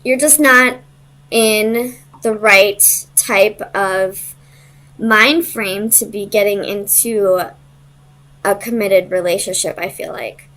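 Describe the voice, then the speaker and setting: A woman's voice: medium pitch, takes it slowly, fairly bright tone, rough recording. Woman thinking aloud, casual and hesitant. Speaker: tarot reader. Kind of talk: casual conversational tarot reading.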